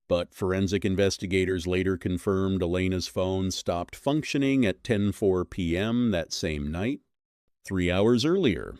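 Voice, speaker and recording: A man reading a scripted horror mystery as narrator, in measured, suspenseful phrases that are short and dramatic. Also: sits low, a little mellow, no room sound, clean studio sound.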